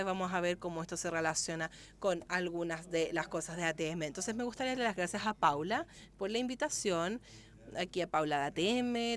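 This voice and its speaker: A woman giving live interpretation of a medical lecture, speaking steadily and often correcting herself mid-word. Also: mid-range voice.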